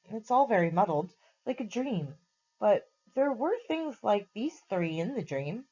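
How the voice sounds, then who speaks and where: A woman voicing a gentle talking horse who speaks slowly and thoughtfully, a little confused. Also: mid-range voice, big pitch swings, husky, highs cut off, pitched above the performer's own voice. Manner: slow, thoughtful, hesitant.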